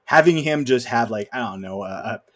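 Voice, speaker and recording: A man's voice: mid-range voice, lively intonation, moderate pace. Wry man chatting about films. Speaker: podcast guest co-host. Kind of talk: casual film discussion.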